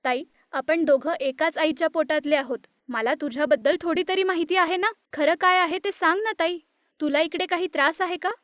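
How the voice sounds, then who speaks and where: A woman's voice: extremely high pitch, moderate pace, a bit bright, no echo, studio quality. Woman voicing an insistent young sister. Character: bold, outspoken young woman, protective sister.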